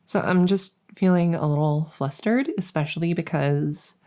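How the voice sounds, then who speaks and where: A woman's voice: sits low, warm tone, natural voice. A woman playing a world-weary young princess in an intimate audio roleplay, speaking hesitantly and confidingly, sometimes close to a whisper. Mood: flustered, charmed. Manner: hesitant, halting, confiding, with trailing pauses.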